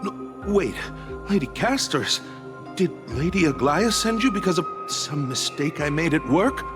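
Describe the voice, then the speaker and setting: Brusque, higher-pitched grumbling townsman. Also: animated, measured pace, over music. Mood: harried, nervous. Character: busy, irritable city worker, grumbling grown son. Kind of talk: dramatic game voice acting.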